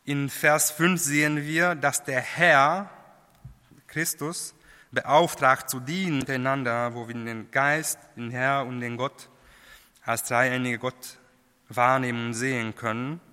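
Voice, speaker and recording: A man preaching a sermon in a language that is not his first, halting, with many fillers and restarts. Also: mid-range voice, steady tone, bright, forceful tone, clean tone. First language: not German. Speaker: lay preacher. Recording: long hall reverb.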